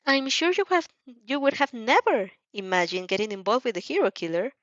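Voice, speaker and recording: A woman voicing a polite, cheerful animal school principal in a fan fiction audio reading, courteous and gently probing, on a slightly dull home recording. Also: on the high side, expressive, a little fast, dry recording. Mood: pleased.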